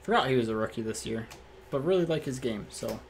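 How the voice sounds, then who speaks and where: A man with a slightly raspy voice hosts a card break, reading off each card in a relaxed, matter-of-fact way and thinking out loud. He is recorded indoors at a table by a fixed camera. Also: medium pitch, quick pace, a little hiss or hum. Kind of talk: casual card-opening commentary.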